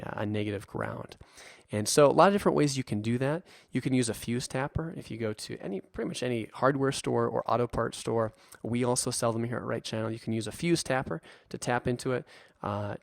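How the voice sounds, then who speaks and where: A dry, close recording of a man with a creaky, gravelly edge to his mid-pitched voice. He explains equipment step by step like an instructor, in an informal and reassuring conversational way. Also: no room sound. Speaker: instructor and presenter for a radio equipment retailer. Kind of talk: explanatory narration of a slide tutorial.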